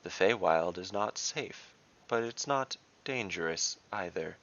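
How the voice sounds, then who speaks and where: Man playing a calm, low-voiced elf woman guardian. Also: measured pace, lo-fi sound, exaggerated voice. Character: calm, mischievous female ice elf guardian.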